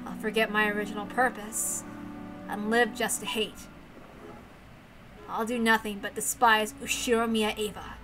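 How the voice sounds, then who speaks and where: A woman's voice: mid-range voice, level tone, normal speed, long pauses, over music, lightly acted, poor audio. A woman reading the brooding, intense monologue of a bitter, weary young woman obsessed with revenge in a visual novel. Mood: bitter, resigned.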